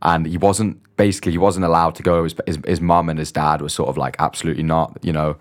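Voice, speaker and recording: Young man, very low voice, hesitant podcast guest, lots of restarts. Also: little pitch movement, quick pace. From grew up mostly in Dubai, born in London. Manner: hesitant, many restarts and fillers. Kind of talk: casual interview chat.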